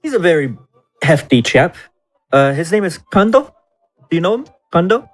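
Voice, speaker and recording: A man with a slightly high voice roleplays as a bard at a tabletop game. His phrasing is polite, wordy and mock-formal, with halting stops as he improvises. Faint music sits underneath. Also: big pitch swings. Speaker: tabletop player speaking as a bard character.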